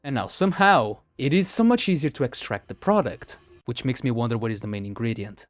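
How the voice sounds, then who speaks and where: A man's voice: medium pitch, expressive, fairly quick, dry recording. A man reading scripted narration, retelling stories as the narrator of a video. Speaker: narrator of a horror video countdown. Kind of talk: scripted narration, storytelling recap.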